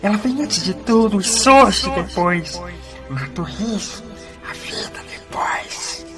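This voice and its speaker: A woman's voice: mid-range voice, expressive, slow pace. A woman playing a sly, hungry underground creature in an audio drama, hissing and muttering to itself. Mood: sinister. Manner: hissing, muttering, breaks into whispers and cries. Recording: music behind the voice.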